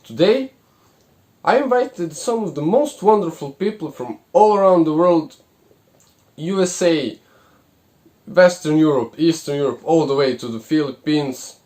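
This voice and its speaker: Higher-voiced man, host. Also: a little slow, darkish tone. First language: Serbian. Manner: polite, apologetic, carefully paced. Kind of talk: video introduction.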